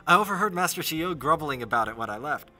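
A man's voice: fairly high pitch, expressive, fast, pushed and bright. A young man playing a talkative, curious teenage apprentice archer in an audio drama, chatty and deferential in manner. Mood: excited, chatty. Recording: music under the voice.

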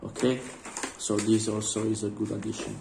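A hoarse man with a cold and a sore throat, showing collectible figurines in a casual vlog, speaking English as a second language with many fillers and throat clearing. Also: on the low side, completely flat delivery, moderate pace, echoey room, background noise. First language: Italian. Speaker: vlogger. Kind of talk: casual unboxing commentary. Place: indoors at a table.

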